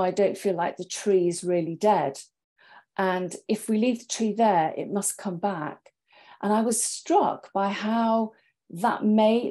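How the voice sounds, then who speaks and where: A middle-aged woman speaking in a measured way, repeating phrases, in a reflective panel discussion on a video call. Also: mid-range voice, dark tone. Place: small home study indoors. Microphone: earphone headset on video call.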